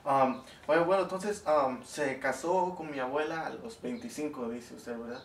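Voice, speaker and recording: A man's voice: medium pitch, normal speed, recorded from a distance. A man interviewing his grandfather at home, asking curious questions with many fillers. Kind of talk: family oral history interview. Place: home living room, indoors. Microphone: camera mic behind the camera. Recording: roomy home video with faint hiss.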